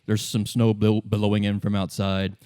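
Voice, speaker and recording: A man running a tabletop game as game master, narrating off the cuff in a halting, informal and wry way. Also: lowish voice, monotone, mellow, clear, resonant tone, dry recording, studio quality.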